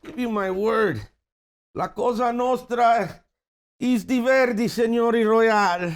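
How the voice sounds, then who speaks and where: A man's voice: very high-pitched, takes it slowly. A man at a comedy table read playing a desperate debtor begging mobsters for time. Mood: desperate, pleading. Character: pleading, desperate male debtor roughed up by mobsters. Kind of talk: table reading of a comedy script.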